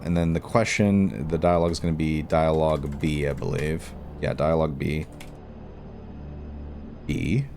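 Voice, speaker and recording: Man, lowish voice, informal tutorial host, thinking aloud step by step, music playing behind. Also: darkish tone. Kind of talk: casual step-by-step screen tutorial, talking while working. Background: background music.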